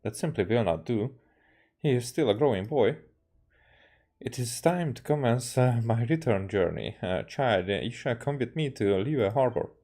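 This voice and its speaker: A man, a game streamer reading dialogue aloud haltingly and correcting himself as he goes. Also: medium pitch, expressive, warm tone. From Hungary.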